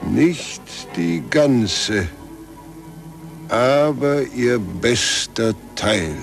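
An actor playing a stern, zealous churchman in a 1940s German radio drama, declamatory and deliberate, with a gravelly voice. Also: mid-range voice, expressive, soft background music, heavily acted. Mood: insinuating. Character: stern, zealous old churchman, a cardinal inquisitor. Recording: noisy 1940s radio recording with hum.

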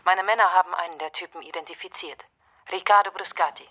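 An actress playing a businesslike, candid police commissioner in a German radio crime drama, speaking in a factual, clipped way. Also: female voice, on the low side, fairly even intonation, quick pace, sharp tone.

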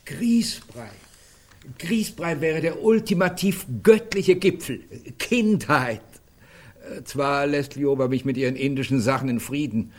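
An actor playing an easygoing, nostalgic artist, a husky man with a hesitant, mildly ironic way of talking, in a radio drama. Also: a little high, measured pace, a little mellow. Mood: ironic, musing. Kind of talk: naturalistic German radio drama acting.

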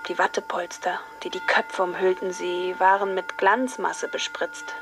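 A woman's voice: lowish voice, level tone, a little slow, music in the background. An actress playing a grieving, numb teenage girl in a radio drama monologue, with a subdued, detached manner. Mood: numb grief. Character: grieving, numb teenage girl recalling her dead friend.

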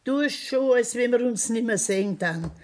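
A woman's voice: fairly high pitch, animated, normal speed, natural voice. Old woman playing a worried Bavarian grandmother. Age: older. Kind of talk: 1970s radio play acting.

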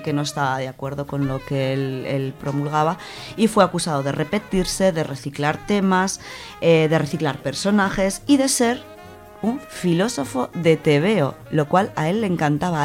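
A woman with an accent from Spain speaks in a low register. She presents books in an informal, enthusiastic way, moving between prepared notes and conversational comment. Light music plays behind her voice. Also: a little mellow. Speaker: radio show book reviewer.

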